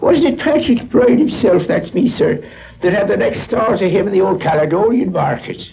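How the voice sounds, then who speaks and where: An actor playing an affable, talkative Irish junk shop keeper in a 1950s radio drama. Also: male voice, high voice, sing-song, normal speed, creaky, heavily acted. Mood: eager, chatty. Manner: genial, roundabout, talkative. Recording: muffled old radio recording.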